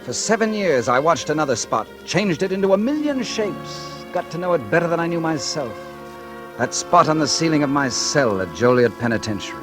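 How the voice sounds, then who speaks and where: A wry, world-weary ex-convict. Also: male voice, a little high, sing-song, brisk. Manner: wry, hard-bitten first-person narration. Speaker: narrator and lead character. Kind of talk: 1940s radio acting. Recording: old radio broadcast with music.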